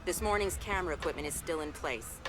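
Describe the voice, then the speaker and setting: A woman playing a brisk, controlled intelligence operative giving clipped, businesslike instructions in a video game cutscene. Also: sits low, lively intonation, music in the background. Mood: businesslike, instructive.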